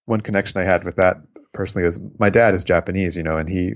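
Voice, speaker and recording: An American man recalling his time abroad in an interview, reflective and unhurried, with frequent fillers. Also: deep voice, steady tone, lo-fi sound. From United States. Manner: reflective, unhurried, many fillers, laughs at own stories. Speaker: interviewee, former volunteer abroad. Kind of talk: oral history interview.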